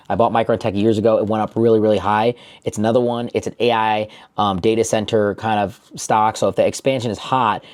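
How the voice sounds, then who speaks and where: A man's voice: fairly low pitch, a little mellow. A man talking off the cuff as a stock trading YouTuber, coaching listeners directly and repeating words for emphasis. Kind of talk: unscripted market commentary to camera.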